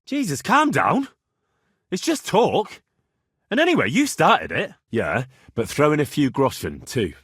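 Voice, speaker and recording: Husky, animated young medieval swordsman. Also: on the high side, measured pace, dry recording, clean studio sound, full character voice. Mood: indignant, defiant. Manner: blunt, haggling, cocky. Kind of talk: video game character dialogue.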